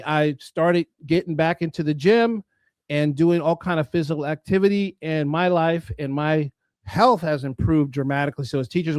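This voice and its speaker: A man's voice: a little high, darkish tone, no room sound. A man hosting an interview show, talking earnestly and often restarting mid-sentence. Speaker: host. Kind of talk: interview and sponsor talk.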